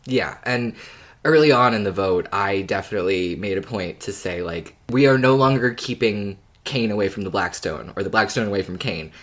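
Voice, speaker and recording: A podcast co-host talking casually and in a measured way, open to other views. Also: low-pitched, a bit bright. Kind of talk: casual discussion chat.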